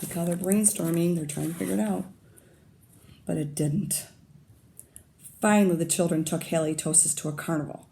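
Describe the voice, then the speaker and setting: A woman teacher reads a picture book aloud to young students. She stops often to comment and to ask the children questions. Her voice sits low and mellow, with a gravelly fry, and a faint hum of room noise stays under it. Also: normal speed, long pauses.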